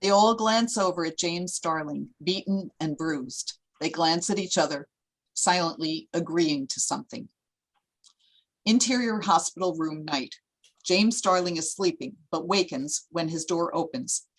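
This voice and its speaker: A woman's voice: on the low side, measured pace, low-quality recording. A woman reading stage directions as the narrator of a screenplay.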